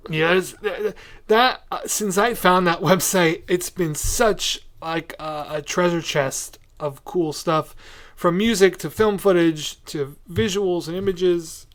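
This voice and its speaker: A man's voice: high-pitched, slow, a bit bright. A man hosting a podcast, chatting casually with a guest in an interview style. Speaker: podcast host.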